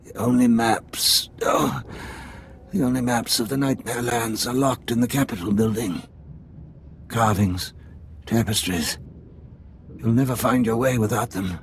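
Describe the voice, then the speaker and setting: A man's voice: medium pitch, steady tone, measured pace, creaky, long pauses, slight background noise. A man playing a proud, bitter undercover Imperial spy who is mortally wounded, in a video game. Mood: urgent. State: weak, mortally wounded acting.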